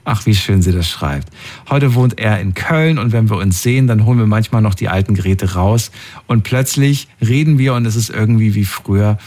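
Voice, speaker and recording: Male radio host, mid-pitched voice, lively, reacts quickly to callers. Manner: chatty, animated, quick reactions. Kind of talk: call-in radio chat and reading listener messages.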